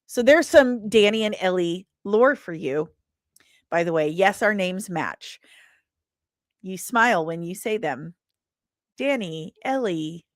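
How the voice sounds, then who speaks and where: A woman hosting a casual livestream, talking in an animated, rambling and self-deprecating way. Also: mid-range voice, slow, pauses often, no room sound, studio quality. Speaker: livestream host.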